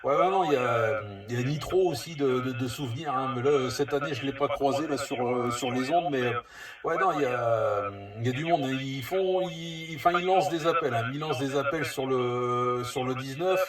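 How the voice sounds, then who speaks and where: A man's voice: mid-range voice, moderate pace, a crowd in the background. Casting: male CB operator, casual and rambling, distant from the mic, roomy echo. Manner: rambling, hesitant. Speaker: CB radio operator. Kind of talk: casual two-way radio chat.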